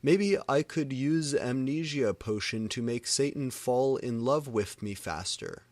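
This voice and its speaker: A man's voice: mid-range voice, animated, measured pace, darkish tone, no room sound, voice pushed up, natural voice. A man voicing a melodramatic, foul-mouthed teenage goth girl in an exclamatory, overdramatic way for a comic story reading. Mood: gushing.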